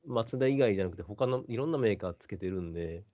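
Man, mellow timbre, brisk pace, casual radio explanations. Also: fairly low pitch, animated, muffled recording. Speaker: radio show panelist.

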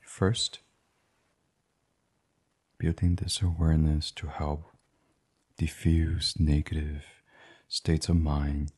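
A man gently and soothingly reading a guided sleep meditation in a breathy, husky voice, as the host. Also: extremely low pitch, flat delivery, slow pace, long pauses.

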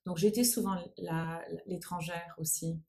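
A woman's voice: lowish voice, steady tone, unhurried, mellow. A woman in her forties whose first language is English, speaking French with an English accent, answering interview questions thoughtfully and searching for her words. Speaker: guest, nonprofit founder. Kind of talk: interview conversation.

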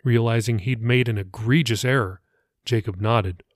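A man's voice: mid-range voice, normal speed, no echo, studio quality. A man reading his own novel as scripted audiobook narration for a podcast.

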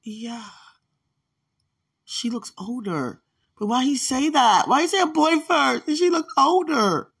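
A woman's voice: high-pitched, animated, measured pace, gravelly, pauses often, fairly bright tone, husky. A woman podcast host giving informal, rambling faith talk, speaking intimately, as if to someone she loves. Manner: speaks intimately, as if to a loved one.

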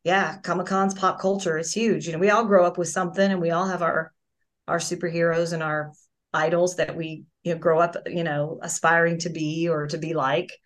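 A woman's voice: lowish voice, flat delivery, normal speed. A middle-aged woman, a voice actress and singer, chatting as a guest in a casual interview over a video call, telling stories with many restarts.

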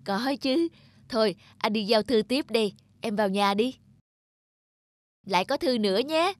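An actor voicing a dutiful young rural postman in an animated folk tale, gentle, polite and reassuring. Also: medium pitch, a little slow, no echo, studio quality, natural voice.

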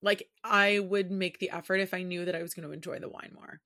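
A woman in her thirties co-hosting a podcast in casual chat from home, chatty and expressive, often laughing. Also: fairly low pitch, brisk. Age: 30s. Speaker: podcast co-host.

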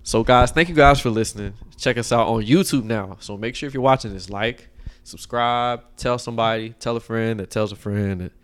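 A man's voice: medium pitch, fairly quick, a bit bright, clear, resonant tone. A man co-hosting a podcast, laid-back and easygoing in casual chat. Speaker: podcast co-host.

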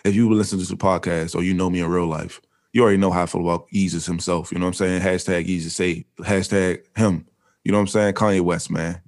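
A young adult man co-hosting a podcast in casual chat, animated and rambling, laughing often. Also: sits low, fast talker. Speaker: podcast co-host.

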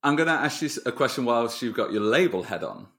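A man's voice: mid-range voice, lively intonation, quick pace, a bit bright. A man hosting a casual interview podcast, talking in a wordy, discursive way with long run-ups to his points. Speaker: podcast host.

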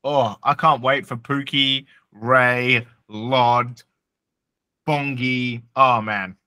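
A man co-hosting a casual sports podcast, excitable and quick to laugh. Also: mid-range voice, clean tone, dry recording, studio quality. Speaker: podcast co-host.

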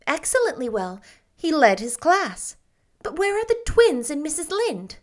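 Casting: effusive, dreamy young woman, lilting and high, voiced by a woman reader. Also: big pitch swings, a little slow. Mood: bright. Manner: gushing, lilting, high-pitched.

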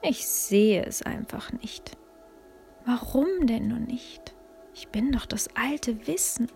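A woman voicing a personified old wisdom, weary and reluctant, speaking in hushed, doubtful tones. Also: on the high side, lively intonation, slow, vocal fry, whispered, faint music behind, exaggerated voice. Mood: bewildered.

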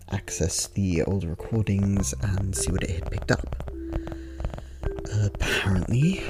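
A performer playing a nervous podcast host in a quiet ASMR roleplay, speaking in a hushed, halting, rambling way with a soft, near-whispered voice. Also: sits low, vocal fry, exaggerated voice, low-quality recording. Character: nervous podcast host hiding from eavesdropping vampires.

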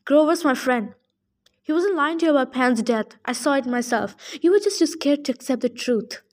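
A young tree nymph , voiced by a woman, speaks in a high, earnest voice. She pleads and insists in an audiobook-style storybook reading. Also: animated, moderate pace. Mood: defiant.

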